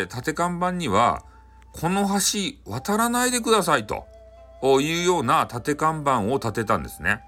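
A man with a Hakata accent narrating a bedtime folktale in a casual, chatty, animated way. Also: mid-range voice. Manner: chatty, animated, frequent sentence-ending fillers. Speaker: storyteller.

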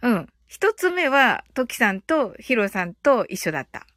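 A woman with a fairly high voice hosts a casual livestream. She talks in short, halting phrases padded with fillers and soft tag words. Her manner is chatty and prone to giggling, as if speaking directly to listeners she knows. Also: sing-song, no echo, clean studio sound. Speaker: livestream host. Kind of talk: casual livestream chat, greeting listeners and reading comments.

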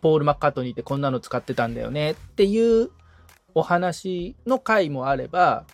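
A man's voice: a little high, expressive, brisk, dry recording, soft background music. A man in a casual but polite consultation, tentatively thinking ideas through aloud as a trainee podcaster.

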